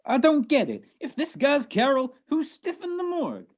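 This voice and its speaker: An actor playing a slow-witted, excitable police sergeant, blunt and baffled, in an old-time radio detective play. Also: male voice, very high voice, heavily acted. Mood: startled, baffled. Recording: dull, lo-fi video call recording.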